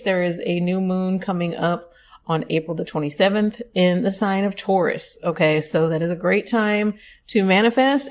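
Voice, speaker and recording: Chatty woman podcast host, slightly scratchy. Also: mid-range voice. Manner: chatty, upbeat, self-correcting asides. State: scratchy voice from allergies. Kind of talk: affirmation podcast monologue.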